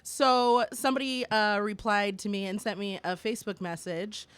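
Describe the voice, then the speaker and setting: Female podcast co-host, mid-pitch, loud and bubbly, dry close mic. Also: fairly even intonation, moderate pace, smooth, no room sound. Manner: laughs often, loud, bubbly. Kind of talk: casual chat. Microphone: close studio mic.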